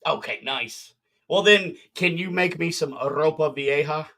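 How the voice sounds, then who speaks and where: A man's voice: on the high side, measured pace, vocal fry, sharp tone. A man hosting a casual podcast over a video call, joking and rambling with his guests. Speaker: podcast host. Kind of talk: casual chat on a livestream.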